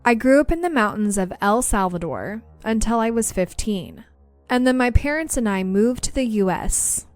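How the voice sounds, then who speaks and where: A young woman hosts a podcast with a slightly high voice and a chatty, easygoing way of talking. She laughs easily and reads listener stories aloud with a soft music bed behind her. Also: animated, normal speed, dry recording. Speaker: podcast host. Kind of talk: casual chat and reading listener stories.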